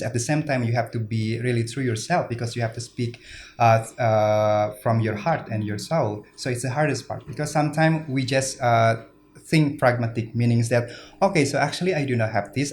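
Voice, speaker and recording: An earnest man from Indonesia talks through his answers with frequent fillers and restarts. His pitch sits in the middle, and faint noise runs under the recording. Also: brisk. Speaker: guest speaker. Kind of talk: interview answers.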